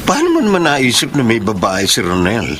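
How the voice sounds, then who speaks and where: An actor playing a casual, teasing uncle who acts as confidant in a Tagalog radio drama. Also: male voice, medium pitch, wildly swooping pitch, normal speed, background noise. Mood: amused, calming.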